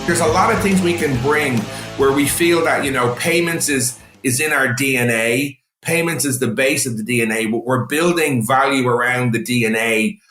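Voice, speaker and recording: A man's voice: medium pitch, normal speed, hardly any pauses, sharp tone, echoey room, soft background music. A man with an Irish accent, a business guest in a podcast interview, talking energetically and fluently with many "you know"s. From Ireland. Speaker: guest, payments executive.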